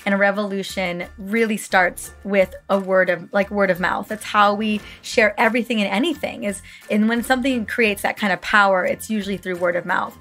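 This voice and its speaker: Hoarse, gushing woman podcast host. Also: mid-range voice, a bit bright. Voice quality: raspy. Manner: effusive, gushing praise. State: hoarse from a book tour. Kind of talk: enthusiastic podcast interview.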